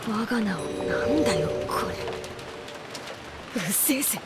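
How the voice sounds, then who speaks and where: A woman voicing a blunt, rough-talking teenage girl in a game drama. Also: a little high, animated, a little fast, music in the background, natural voice. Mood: irritated, urgent. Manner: blunt, tomboyish. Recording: heavy rain ambience behind the voice.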